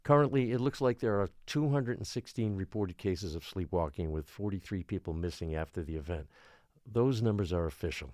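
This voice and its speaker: An actor playing a beleaguered small-island mayor in an audio drama, speaking in a measured, formal, placating way. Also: male voice, sits low, animated, a little mellow, no echo, studio quality. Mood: grave, measured. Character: beleaguered small-island mayor trying to calm frightened residents. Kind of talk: audio drama acting, public address.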